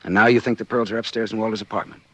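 An actor playing a wry, hardboiled private detective in a 1940s radio drama, with an American accent and a sardonic, clipped manner. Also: male voice, on the low side, little pitch movement, very fast, lightly acted. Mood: cool, businesslike. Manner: sardonic, clipped, world-weary. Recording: dull 1940s radio recording.

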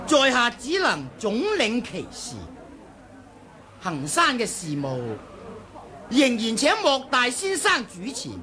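A woman voicing a scheming male sect leader who speaks in measured, courteous, formal phrases, in a 1980 radio drama. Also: on the high side, fairly bright tone, full character voice. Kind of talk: 1980s radio drama acting.

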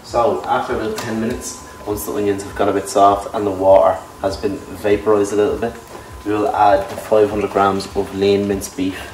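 A young man, talking casually through a cooking walkthrough in a home kitchen, relaxed and self-deprecating. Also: lowish voice, normal speed, hardly any pauses, recorded from a distance, slight background noise. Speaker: fitness vlogger. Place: large home kitchen, indoors. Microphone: camera mic at a distance. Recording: echoey kitchen, voice from off-mic.

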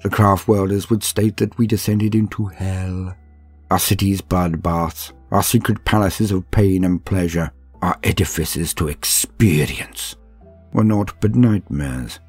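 Man voicing a deep husky, arrogant ancient alien aristocrat. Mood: grandiose. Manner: grandiose, haughty, theatrical, with slow pauses and hushed drops. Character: arrogant, decadent ancient alien aristocrat, male, cruel and hedonistic. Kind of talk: dramatic first-person scripted horror storytelling. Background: music.

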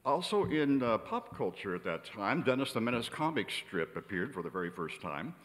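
Man, seventies, American accent, stage announcer, animated pitch, measured emphatic reading, big echoing theater. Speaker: announcer introducing a stage show. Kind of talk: prepared stage introduction read from notes. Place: on stage in a large indoor theater. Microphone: vintage-style microphone on a stand. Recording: reverberant theater hall.

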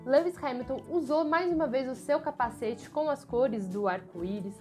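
A young Brazilian woman speaks in a fairly high voice, presenting motorsport commentary to camera. Her delivery is emphatic and expressive, recorded at home with music playing behind her. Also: normal speed. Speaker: motorsport channel host. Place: small furnished room at home, indoors.

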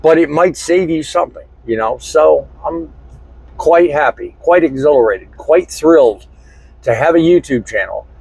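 Middle-aged man, trucker vlog, husky voice, earnest and deliberate, lapel mic, truck cab. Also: fairly high pitch, darkish tone, dry recording, rough recording. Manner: earnest, reflective, deliberate phrasing. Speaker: truck driver and vlogger. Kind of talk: casual talk to camera. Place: inside a truck cab, also outdoors in a parking lot. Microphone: small lapel mic.

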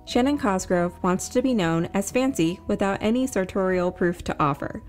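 A woman's voice: medium pitch, animated, moderate pace, faint music behind. A woman reading scripted narration as the host and storyteller of a fiction podcast.

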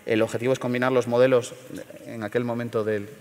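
A middle-aged man from León in Spain, speaking at a panel forum in a measured, hesitant way with many fillers and restarts. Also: mid-range voice, little pitch movement, a little mellow, in a large hall, slight background noise. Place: large theater stage.

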